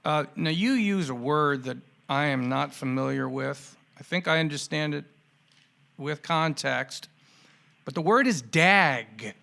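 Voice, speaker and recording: Gravelly, forceful male podcast host. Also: a little high, lively intonation, measured pace. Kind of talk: conversational interview questioning.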